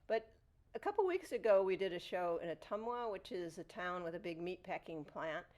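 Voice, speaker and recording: A woman's voice: fairly low pitch, lively intonation, normal speed, a little mellow. A woman talking about her play in an interview, telling stories in a reflective way. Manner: reflective storytelling. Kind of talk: interview talk.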